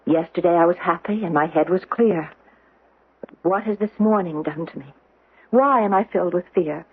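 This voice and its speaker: An actress playing a troubled young Roman woman haunted by visions of doom, in a dramatic, halting, foreboding old-time radio style. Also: fairly low pitch, breathy edge. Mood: uneasy, foreboding. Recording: muffled vintage 1970s radio broadcast.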